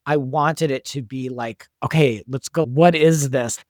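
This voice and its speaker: Man, mid-pitched, emphatic, dry sound. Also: a little slow, darkish tone, dry recording. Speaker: show creator and director, guest. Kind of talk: casual interview chat.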